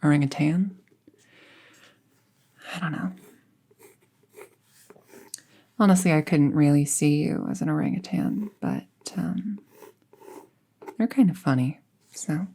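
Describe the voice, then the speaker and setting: Soft, low-voiced young apprentice witch, acted by a woman. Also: very animated, unhurried, gravelly, pauses often, breathy edge, exaggerated voice. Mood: fond, unsure. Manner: soft-spoken, hesitant, musing, often trailing into near-whisper. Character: friendly young female apprentice witch, casual and thoughtful. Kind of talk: intimate roleplay audio acting, conversational.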